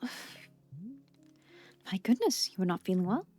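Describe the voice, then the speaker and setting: A woman voicing a kindly male tea shop owner who speaks with formal, quaint, gently polite phrasing. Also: medium pitch, very animated, slow pace, breathy edge, faint music behind, pitched below the performer's own voice, heavily acted. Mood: gentle, welcoming.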